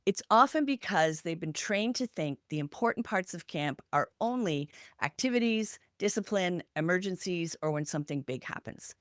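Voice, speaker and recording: A woman hosting a podcast from prepared notes, speaking emphatically and listing her points deliberately. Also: lowish voice, no room sound, clean studio sound. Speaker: podcast host.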